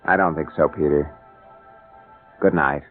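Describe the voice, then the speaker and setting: A man's voice: rumbling low, steady tone, a little slow, music in the background. An actor playing a mild, earnest middle-aged father with an American accent in an old-time radio drama. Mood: gentle, thoughtful. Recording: tinny, band-limited old radio broadcast.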